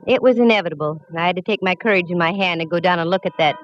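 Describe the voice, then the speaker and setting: A woman's voice: lowish voice, natural voice. A woman playing a wry hardboiled lady detective. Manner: wry, hardboiled, quick with quips. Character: wisecracking woman private eye, young adult. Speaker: narrator. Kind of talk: 1940s radio acting. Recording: dull old radio broadcast with faint music.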